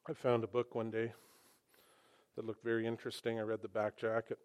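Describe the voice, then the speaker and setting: Animated male preacher speaking on a mic. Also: on the low side, fairly quick, smooth. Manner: animated, conversational, with emphatic asides. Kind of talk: sermon. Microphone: microphone.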